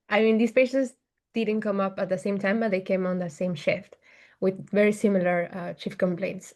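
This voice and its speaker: A woman from Peru, a doctor applying to neurology, presenting a clinical case in a measured, methodical way over a video call. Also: mid-range voice, a little mellow.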